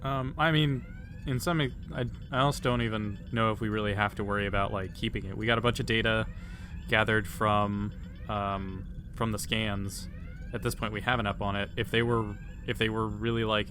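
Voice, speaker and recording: A man with a slightly deep voice speaks as a player in a tabletop game. He is informal and conversational. The recording has clear noise in the background. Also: steady tone, fairly quick, a bit bright. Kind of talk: casual chat at the game table.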